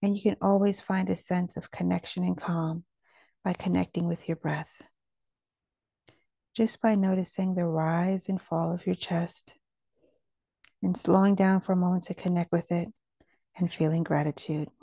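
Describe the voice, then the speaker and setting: A woman guiding a meditation in a gentle, calming manner. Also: on the low side, moderate pace, gravelly, long pauses, dry recording. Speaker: meditation guide. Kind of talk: guided meditation narration.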